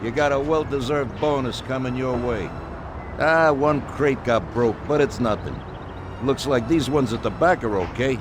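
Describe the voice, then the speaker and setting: An actor playing an older, genial mob boss who praises his men in a slow, fatherly way. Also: mid-range voice, lightly acted. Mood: pleased. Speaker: crime boss. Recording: game audio with street noise.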